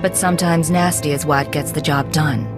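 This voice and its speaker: A woman's voice: deep voice, fairly even intonation, normal speed, over music, close to an everyday voice. An actress playing a curt, confident female secret agent in a video game. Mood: cool, confident. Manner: curt, self-assured. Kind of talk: scripted video game dialogue.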